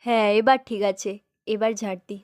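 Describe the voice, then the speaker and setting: Lady doing a big sister doll for a kids story, high voice, chatty n homely, kinda bossy but caring. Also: steady tone, a little fast, darkish tone, close to an everyday voice. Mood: brisk, homely. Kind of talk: doll-play storytelling dialogue.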